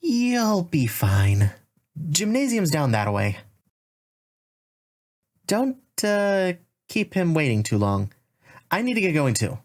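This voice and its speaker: A man's voice: fairly high pitch, wildly swooping pitch, slow pace, pauses often, exaggerated voice. A man voicing a friendly, chatty young male dinosaur student, a helpful class president, in a visual novel fan dub.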